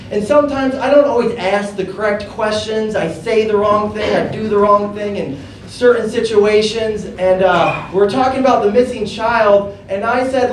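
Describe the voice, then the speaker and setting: A man in his thirties with a lisp, doing a stand-up comedy set on a handheld mic, with a halting, deadpan delivery. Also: sits high. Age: 30s. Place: comedy club with audience laughter. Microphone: handheld stage mic, amplified. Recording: echoey club recording from far off with room noise.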